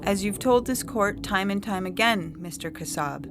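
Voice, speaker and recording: A woman playing an imperious female judge, speaking with stern, measured certainty in a dramatic story reading. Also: medium pitch, expressive, music in the background, natural voice. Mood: stern conviction. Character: implacable, imperious female judge of absolute conviction.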